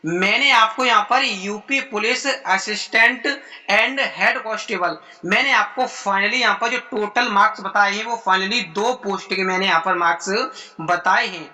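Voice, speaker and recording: A man's voice: high-pitched, normal speed, pushed and bright, poor audio. A man giving an informal explainer to students as an exam-prep presenter, in repetitive, formulaic phrasing.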